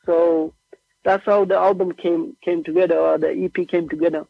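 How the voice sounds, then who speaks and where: A young man from Norway whose first language is Norwegian, chatting casually as a musician guest on a remote call, relaxed and slangy. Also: fairly high pitch, normal speed, vocal fry, dry recording. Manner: relaxed, slangy, says "bro" and "like" often. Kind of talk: casual interview chat. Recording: tinny phone-like remote line.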